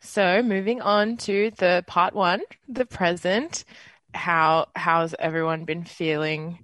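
A twenty-eight-year-old radio host with an Australian accent, leading a community panel in an earnest, relaxed way. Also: mid-range voice, no echo. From Western Australia. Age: 20s. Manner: earnest, relaxed, with fillers and small laughs.

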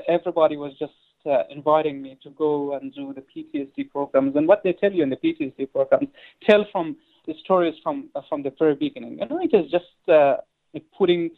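Earnest man, gravelly voice, phone line. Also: a little high. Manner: earnest, halting, many fillers and restarts. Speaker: poet and interview guest. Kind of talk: interview conversation.

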